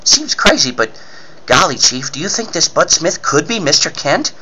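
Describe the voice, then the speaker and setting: Man playing an excitable boy sidekick, wildly swooping pitch. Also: normal speed, dull audio, slight background noise. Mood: puzzled. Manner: gushing, wide-eyed exclamations. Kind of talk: 1940s radio serial acting.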